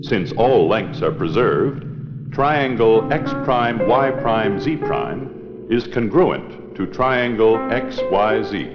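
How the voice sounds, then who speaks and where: A man narrating an educational film from a script in a measured, deliberate, precise manner. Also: on the low side, sing-song. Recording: dull old 16mm film soundtrack, long reverb, loud music.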